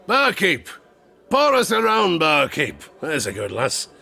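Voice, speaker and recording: Gravelly, theatrical old sailor. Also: male voice, fairly high pitch, huge pitch swings, unhurried, background noise, exaggerated voice. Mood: boisterous. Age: older. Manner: salty sailor's dialect, chuckling, theatrical asides. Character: grizzled old harpooner spinning a tavern sea tale, boastful, haunted. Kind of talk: dramatic audio drama storytelling.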